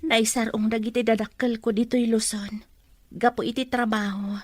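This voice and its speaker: Woman acting a high-voiced, humble young mother-to-be. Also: little pitch movement, normal speed, darkish tone, dry recording, natural voice. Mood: sad, humble. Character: humble, sorrowful young pregnant woman from poverty. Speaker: voice actor in an audio drama. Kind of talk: Filipino radio-drama acting in Ilocano.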